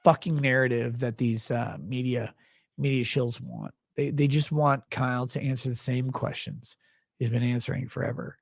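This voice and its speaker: A tired man with a gravelly voice, hosting a livestream and signing off in a rambling, blunt, profane way. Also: medium pitch, level tone, moderate pace, no echo, studio quality. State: tired, low on sleep. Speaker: livestream host. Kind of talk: casual livestream sign-off chat.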